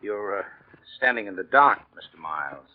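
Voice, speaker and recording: A man's voice: fairly low pitch, animated, moderate pace, close to an everyday voice. An actor playing a persistent male police lieutenant, offhand and politely insistent, in 1940s radio drama style. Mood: probing, casual. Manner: offhand, hesitant probing, polite but insistent. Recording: dull 1940s radio recording.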